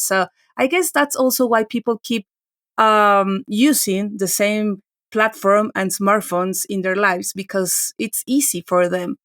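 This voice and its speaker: Tech journalist, woman, forties, English speaker, chatty guest with fillers and restarts, mid pitch. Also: fairly bright tone. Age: 40s. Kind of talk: casual podcast conversation.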